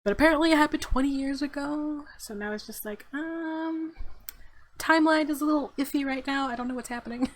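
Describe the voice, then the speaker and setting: A woman's voice: high voice, a little fast, slight background noise. A woman hosting a let's play with casual, slangy commentary, giggly and easily spooked as she reacts. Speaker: gaming video host, let's player. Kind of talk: casual let's play commentary with visual novel read aloud.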